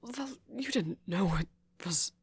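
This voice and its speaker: A man voicing a shy, smitten young vampire in an ASMR roleplay, soft and halting. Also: high-pitched, animated, slightly rough, pitched above the performer's own voice, exaggerated voice. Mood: bashful, tender.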